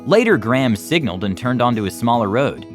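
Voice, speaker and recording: A man reads a children's story as narrator, with a very lively, expressive melody to his mid-pitched voice. Music plays clearly behind the reading. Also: fairly quick. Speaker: storytime reader, music club teacher.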